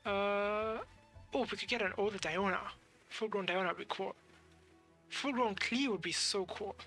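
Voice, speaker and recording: Young female streamer, crisp bright tone, casual commentary, hesitant musing, faint music behind. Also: medium pitch, moderate pace. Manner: musing aloud, hesitant searching for words. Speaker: game streamer. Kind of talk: casual live game commentary. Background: game music.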